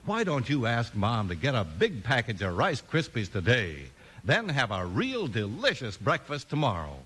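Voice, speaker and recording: Casting: vintage male radio pitchman, American, lively swooping delivery, punchy, crackly noisy transcription. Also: moderate pace. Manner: enthusiastic, punchy. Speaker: radio announcer. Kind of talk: 1950s radio announcing and ad reading.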